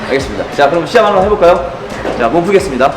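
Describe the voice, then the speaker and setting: Male boxing coach, firm and commanding, slightly high voice, music in a reverberant gym. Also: lively intonation, very fast. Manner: firm, coaching, calls out counts. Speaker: boxing trainer. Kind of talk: group class instruction. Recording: echoey gym with loud music.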